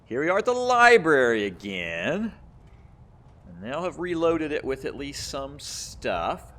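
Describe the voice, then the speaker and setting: A man's voice: a little high, big pitch swings, unhurried, a bit bright. A man giving casual gameplay commentary as host of a gaming video, musing aloud as he plays. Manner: muses aloud while playing.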